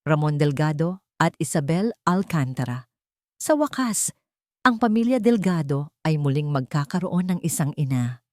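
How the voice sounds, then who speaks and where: A woman's voice: low voice, sing-song, normal speed, darkish tone, no room sound, clean studio sound. A woman reading a scripted romantic drama story as a narrator.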